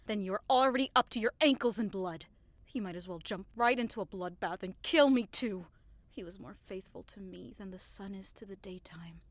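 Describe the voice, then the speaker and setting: A woman's voice: medium pitch, fairly bright tone, no echo, natural voice. A woman playing a passionate, scornful young lover in an audio drama, with emphatic, dramatic delivery. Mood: accusing, distraught. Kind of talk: theatrical audio drama acting.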